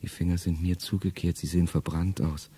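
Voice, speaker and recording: Middle-aged guy reading a radio play monologue, deep voice, kinda whispery and hushed. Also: level tone, fairly quick, breathy edge. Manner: low, intimate delivery, often dropping to a whisper. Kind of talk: first-person literary monologue in a radio play.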